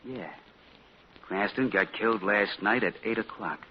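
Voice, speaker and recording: An actor playing a rough male crook with street talk who claims to see the future, on a muffled 1940 radio broadcast. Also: lowish voice, a little slow, close to an everyday voice. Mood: sly. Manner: street talk, jumpy then sly.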